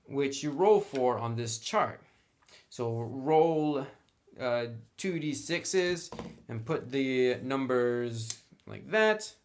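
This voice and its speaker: A man presenting a tabletop game review in a casual, conversational way, explaining things step by step straight to the viewer. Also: mid-range voice. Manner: conversational, instructional, addresses the viewer directly.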